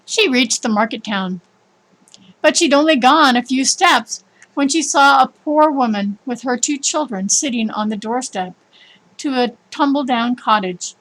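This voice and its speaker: A woman with a fairly high-pitched voice reads a scripted story. Her delivery is expressive and emphatic, in the manner of storybook narration. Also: a little slow, rough recording. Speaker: storybook reader.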